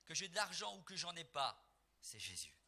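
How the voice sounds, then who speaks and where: A middle-aged man from Portugal, a gospel singer preaching at a concert, emphatic and rising to near-singing at times, then soft and reflective. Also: fairly high pitch, sing-song, normal speed. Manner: soft and reflective when telling family memories. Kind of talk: preaching between songs at a concert.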